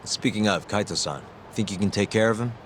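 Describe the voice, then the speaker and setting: An actor playing a cool, principled lawyer-detective in a video game cutscene, with a dry, wry manner. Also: male voice, on the low side, brisk, slight background noise, lightly acted. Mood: calm.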